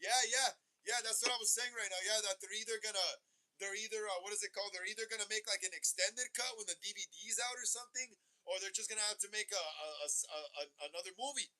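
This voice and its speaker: A man in his thirties talks at an unusually high pitch as he hosts a casual live stream. He rambles, circles back and restarts his sentences, calling his viewers "bro" in a loose, chatty way. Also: fast, pushed and bright, rough recording. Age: 30s. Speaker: host of a horror movie livestream.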